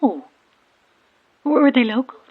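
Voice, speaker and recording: A woman's voice: sits high, expressive, unhurried, slightly rough, full character voice. Stranded boat woman on narrow, tinny marine radio: chatty yet cryptic, knowing ghost believer, audio horror drama. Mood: curious, probing. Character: eerie, self-aware female ghost believer calling from stranded boat. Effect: radio transmission filter. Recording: thin, band-limited marine radio.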